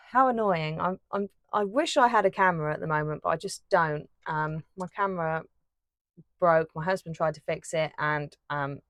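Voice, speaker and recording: A woman chatting casually while she paints, rambling with lots of fillers and restarts, her voice a little croaky. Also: low-pitched, moderate pace, fairly bright tone, no room sound. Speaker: art YouTuber.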